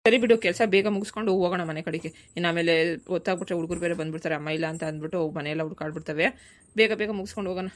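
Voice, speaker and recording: A woman voicing a quick-tempered village farmer husband who bickers and coaxes in a family cartoon, with a rural southern Karnataka accent. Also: on the low side, close to an everyday voice. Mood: placating. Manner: bickering, colloquial, nagging questions.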